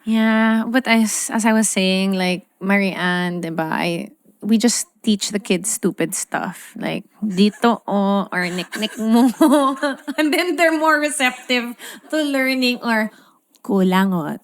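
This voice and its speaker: A woman's voice: mid-range voice, slow, no echo. A woman in her thirties with a Filipino accent, hosting a casual chat and playfully switching between English and Tagalog. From Philippines. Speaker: podcast host.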